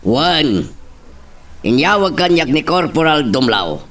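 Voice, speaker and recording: A man playing a gruff, evasive fellow in an Ilocano audio drama, with an Ilocano accent. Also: on the high side, big pitch swings, takes it slowly, a bit bright, exaggerated voice. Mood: brusque, uneasy. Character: Placido, gruff, evasive man bearing bad news. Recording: slightly distant mic.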